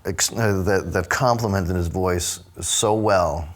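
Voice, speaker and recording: Deep-voiced producer guy, um-ing and restarting a lot. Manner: halting, many fillers and restarts. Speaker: record producer. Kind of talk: casual studio conversation.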